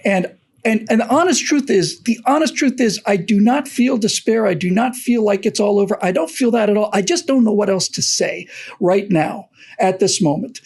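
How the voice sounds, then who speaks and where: Husky middle-aged guy on a video call, halting, keeps repeating himself, earnest. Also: sits high, a little mellow. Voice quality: husky, thin voice. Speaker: co-host. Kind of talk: casual video call chat. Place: home office.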